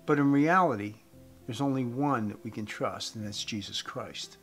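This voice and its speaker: A man in his fifties giving an earnest evangelistic talk to camera as a host, phrasing deliberately with emphasis. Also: mid-range voice, a bit bright. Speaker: host giving a faith message. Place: indoors, room with wooden beams. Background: guitar music.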